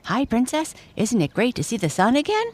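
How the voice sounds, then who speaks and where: Woman putting on a chirpy, high-pitched little corgi. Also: huge pitch swings, a little fast. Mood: excited. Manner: chirping, bouncy. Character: small, fun-loving, chirpy female corgi.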